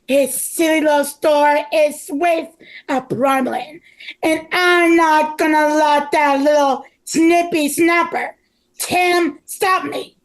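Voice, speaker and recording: A woman's voice: high voice, steady tone, slow, low-quality recording. A woman voicing a greedy, scheming male villain with a blustering, petulant manner in a table read. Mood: scheming, frustrated. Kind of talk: table read of a comic script.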